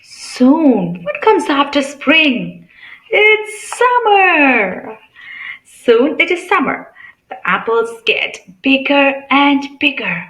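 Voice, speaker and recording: A woman's voice: high-pitched, sing-song, drawn-out words, a bit bright, roomy sound. A woman reading a picture book aloud to children in a teacherly way, asking questions and explaining simply. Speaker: read-aloud channel host.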